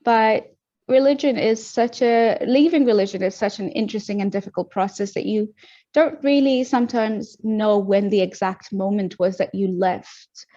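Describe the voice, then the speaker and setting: A woman's voice: medium pitch, normal speed, mellow. A young woman from Tanzania, a podcast guest, talking reflectively about her life with frequent ums and restarts. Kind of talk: casual interview talk. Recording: muffled lo-fi audio.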